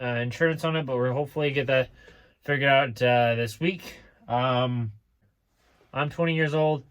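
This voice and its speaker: A man's voice: medium pitch, a bit bright. Young guy, twenties, chatty vlog delivery, loose and rambling, outdoor camera mic. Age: 20s. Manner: rambling, many restarts and fillers. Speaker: small trucking business owner. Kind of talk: casual talk to camera. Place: outdoors beside his truck.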